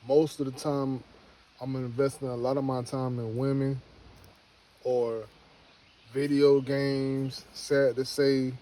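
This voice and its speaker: A man's voice: mid-range voice, flat delivery, slow, long pauses, warm tone, rough recording. A man in his early thirties talking casually to camera, rambling and repeating himself, then giving an earnest motivational pep talk. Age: 30s. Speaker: homestead vlogger.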